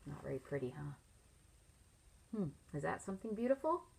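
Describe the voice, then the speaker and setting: A middle-aged woman, a teacher reading a picture book aloud to children, expressively and with pauses to ask them leading questions. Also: low-pitched, very animated, creaky, background noise. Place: small furnished room at home, indoors.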